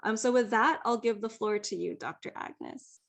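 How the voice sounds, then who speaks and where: Woman librarian hosting a teen panel, polite, steady, fillers. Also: a little high, moderate pace, gravelly. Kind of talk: event introduction.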